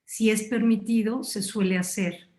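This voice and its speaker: Woman, chat moderator, polite, brisk, roomy echo. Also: mid-range voice, fairly even intonation, darkish tone. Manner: polite, brisk relaying of comments. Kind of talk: online class moderation.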